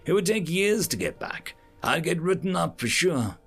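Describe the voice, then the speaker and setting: A man's voice: a little high, big pitch swings, moderate pace, a bit bright, breathy edge, soft background music, voice pushed up, exaggerated voice. Man doing a brusque woman mechanic. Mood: wry. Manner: dry, impatient. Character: brusque young woman mechanic, wry and impatient.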